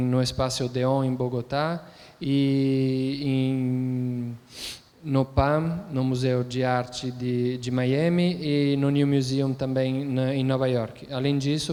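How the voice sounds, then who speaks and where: A man reads out an introduction as a moderator, halting and restarting over some phrases. His voice stays flat and mid-pitched. The hall around him gives it a long reverberant tail. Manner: flat delivery with hesitant restarts. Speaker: event moderator. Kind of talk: reading an introduction. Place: cultural center lecture room. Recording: echoey hall.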